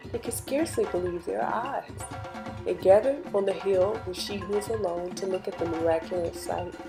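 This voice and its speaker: A woman reading a bedtime story aloud in an expressive, measured storytelling manner. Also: medium pitch, gravelly. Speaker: storybook reader. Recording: music behind the voice. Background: music.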